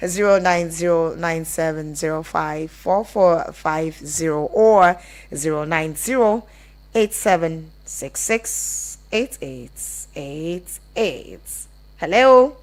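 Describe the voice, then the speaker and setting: A Nigerian-accented woman hosts a live radio phone-in. Her voice sits a little low for a woman, and she speaks as a presenter handling listeners' messages and calls. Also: takes it slowly. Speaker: radio call-in show host.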